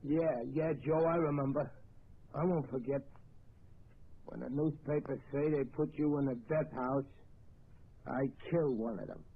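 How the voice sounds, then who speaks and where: Husky, childlike war veteran, vintage radio play, tinny recording. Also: male voice, medium pitch, steady tone. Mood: simple. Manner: childlike, slow-witted, eager to please. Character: shell-shocked young man, simple and devoted.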